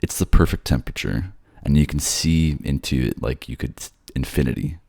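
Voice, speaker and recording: A man narrates a scripted ASMR roleplay in a slightly sleepy voice. It is very deep, with a lot of fry, and the recording is close and dry. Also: fairly quick, a little mellow, no room sound.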